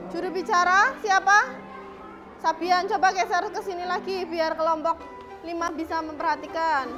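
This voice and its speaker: A woman's voice: very high voice, steady tone, normal speed, over music, crowd noise. A woman working as a primary school teacher, giving classroom instructions and prompting pupils with repeated questions and directions.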